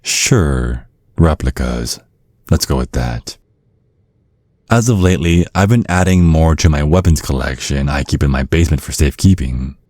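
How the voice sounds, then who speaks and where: A man acting a menacing, courteous old vampire father in an ASMR roleplay, speaking in measured, slyly threatening tones. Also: very deep voice, lively intonation, darkish tone, dry recording. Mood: smug, courteous. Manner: measured, veiled threats under courteous small talk. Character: menacing ancient vampire father, polite, sly and controlling.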